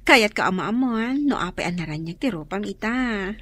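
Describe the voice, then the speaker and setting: A woman's voice: mid-range voice, animated, moderate pace, a bit bright, husky. A woman acting as a playful, nosy young friend in an Ilocano radio drama, with exaggerated, teasing, sing-song delivery.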